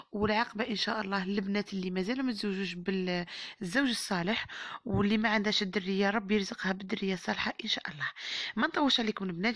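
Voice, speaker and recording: A woman with an Algerian Arabic accent and a hoarse voice, chatting to viewers as she narrates a home baking tutorial. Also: medium pitch, dry recording. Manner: chatty, warm-hearted blessings and advice to viewers. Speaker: cooking channel host. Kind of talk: home cooking tutorial voice-over.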